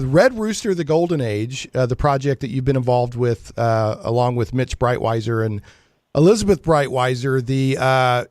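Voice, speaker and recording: A man's voice: medium pitch, a little mellow, no room sound. Informal man hosting radio, close and dry. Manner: informal, halting, repeats words. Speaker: radio show host. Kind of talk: casual live radio chat.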